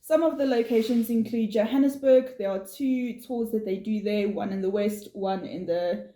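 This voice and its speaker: A woman from South Africa, not a native English speaker, co-hosting a vlog and explaining things in a steady, informative way with some fillers. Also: mid-range voice, moderate pace. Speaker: vlog co-host. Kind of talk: casual chat, explaining. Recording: echoey room.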